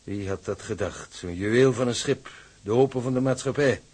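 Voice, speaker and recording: A man acting in a Dutch radio drama as a polite, smooth-talking but unyielding shipping office manager. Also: medium pitch, moderate pace, darkish tone, close to an everyday voice. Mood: unctuous, courteous. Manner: formal, unctuous courtesy with swooping emphasis. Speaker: actor.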